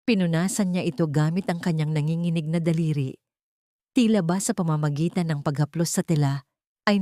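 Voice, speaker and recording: A woman's voice: lowish voice, dark tone, no echo, studio quality. A woman with a Filipino accent narrating a dramatic story as a scripted audiobook.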